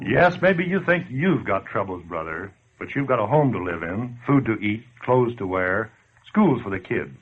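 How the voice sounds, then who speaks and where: Casting: blunt, slangy male scold for 1940s radio, husky, very animated delivery, old muffled recording. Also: lowish voice, moderate pace, a little hiss or hum. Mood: sardonic, scolding. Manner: blunt, sardonic, colloquial. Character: tough, slangy streetwise man scolding complacent listeners. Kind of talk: 1940s radio acting, direct address to listener.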